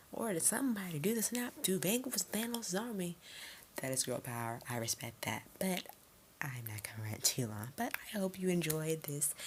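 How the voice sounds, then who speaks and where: A woman giving a casual, rambling movie review as an excitable fan who acts out imagined lines. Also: a bass voice. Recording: noticeable background noise.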